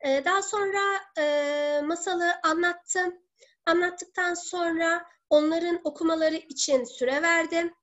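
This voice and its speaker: A woman in her thirties, a teacher presenting in an online seminar from home, explaining step by step in a halting way. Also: extremely high pitch, fairly even intonation, a bit bright, muffled recording. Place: living room at home. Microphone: laptop or webcam video call.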